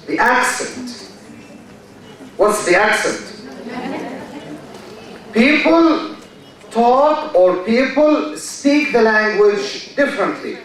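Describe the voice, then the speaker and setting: Egyptian guy teaching a class, high voice, really slow, repeats stuff and asks questions, sounds far off in a big echoey hall. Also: expressive, a bit bright. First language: Arabic. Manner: slow, repeats key words, quizzes students. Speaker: university lecturer. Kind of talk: classroom lecture. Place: large lecture hall.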